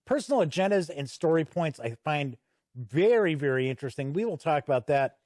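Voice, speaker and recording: A man's voice: on the high side, big pitch swings, brisk, darkish tone, no room sound, studio quality. A man giving a casual, conversational product review as host, explaining things step by step and leaning on key words. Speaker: tabletop game reviewer and host. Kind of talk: casual review commentary, paging through a boxed game.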